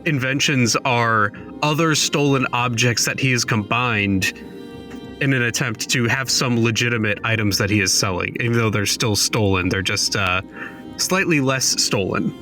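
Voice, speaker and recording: A man talks casually as a player in a tabletop game, often thinking aloud. His voice is bright and sharp-edged. Faint music sits behind him. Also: medium pitch, normal speed. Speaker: player in a tabletop role-playing podcast.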